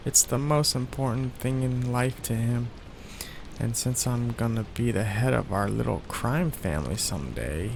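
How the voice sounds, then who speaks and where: A man voicing a soft-hearted young male mafia heir in an ASMR roleplay, talking in a hesitant, sheepish way with many fillers. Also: mid-range voice, vocal fry, background noise. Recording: steady rain sounds behind the voice.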